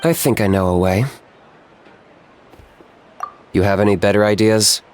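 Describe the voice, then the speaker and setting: A man playing a cool, pragmatic young monster hunter in a video game, speaking in a measured, matter-of-fact, reserved way. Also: on the low side, fairly even intonation, slight background noise, close to an everyday voice. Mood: grave, resolute. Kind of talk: video game character dialogue.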